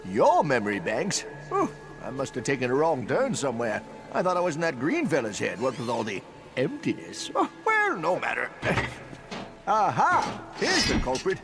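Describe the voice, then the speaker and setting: An old plumber guy, gravelly and folksy, voice goes up and down a ton, music under it. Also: mid-range voice, huge pitch swings, moderate pace. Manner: folksy drawl, rambling. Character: folksy old male plumber, chatty and kind. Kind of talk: video game cutscene acting.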